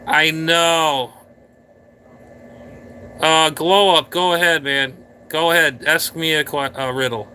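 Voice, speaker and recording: A man's voice: on the high side, fairly even intonation, slow pace, pauses often, sharp tone, slight background noise. A man hosting a casual live stream, rambling playfully and talking straight to his viewers from a room indoors.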